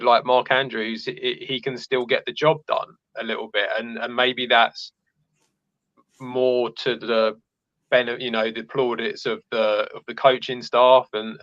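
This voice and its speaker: A man's voice: medium pitch, fairly bright tone, dry recording, dull audio. A man talking through sports analysis casually as a podcast panelist.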